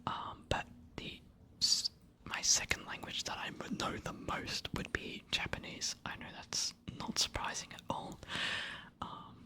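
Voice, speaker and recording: An ASMR creator whispering answers to subscriber questions in a soft, hesitant, apologetic way. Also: measured pace.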